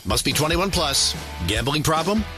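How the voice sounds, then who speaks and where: A man's voice: on the high side, lively intonation, normal speed. A man with an American accent delivering a scripted promotional advertisement as a voice-over announcer. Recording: clear guitar music bed.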